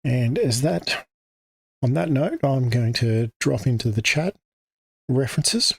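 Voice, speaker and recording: A man's voice: mid-range voice, animated, takes it slowly, gravelly, slightly rough, no echo. An Australian man hosting an English-teaching livestream, talking off the cuff with many fillers and restarts. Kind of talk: unscripted livestream talk. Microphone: desk microphone.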